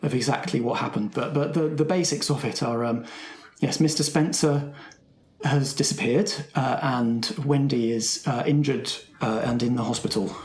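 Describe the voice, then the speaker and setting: Man acting a halting, flustered schoolteacher, roomy sound. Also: mid-range voice, steady tone, a little mellow, natural voice. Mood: shaken, flustered. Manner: halting, stammering, repeating words. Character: shaken, flustered primary school teacher.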